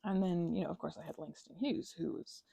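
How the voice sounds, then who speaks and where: A woman's voice: on the low side, brisk, gravelly, mellow, slightly rough, no echo. A woman chatting casually and hesitantly in a meandering craft ASMR ramble, close to the mic. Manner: hesitant, meandering, self-interrupting. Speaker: host of a craft ASMR channel.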